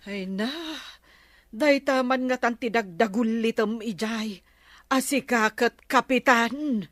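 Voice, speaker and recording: An exasperated Filipino wife in a radio comedy, nagging and sighing. Her voice is bright and piercing, recorded dry and close. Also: a little high, takes it slowly, no echo. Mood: scolding, threatening. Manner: scolding, sighing. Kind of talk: Ilocano radio comedy drama acting.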